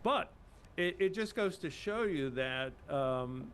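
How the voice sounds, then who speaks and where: A man's voice: a little high, lively intonation, slow, a bit bright, a little hiss or hum. An older man from Cuba, a guest in an interview, speaking modestly with many fillers and restarts. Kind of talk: casual interview talk.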